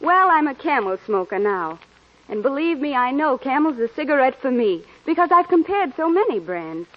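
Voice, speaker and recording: A woman's voice: high voice, big pitch swings, moderate pace. A woman giving a scripted testimonial in a 1940s radio advertisement. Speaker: testimonial speaker in commercial. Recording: dull vintage 1940s radio recording.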